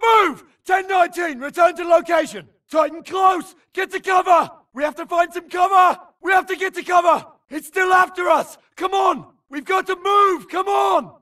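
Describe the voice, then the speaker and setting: A man's voice: very high-pitched, moderate pace, few pauses, sharp tone, raised voice, far from the mic, full character voice. A man playing an infantry grunt in a military shooter game, barking urgent, clipped combat callouts. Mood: panicked urgency. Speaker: soldier in a video game.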